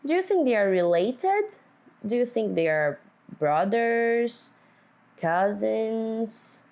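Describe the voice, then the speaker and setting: A woman teacher reading a picture book aloud to children, speaking deliberately and putting simple questions to them. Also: a little high, very animated, darkish tone. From Brazil.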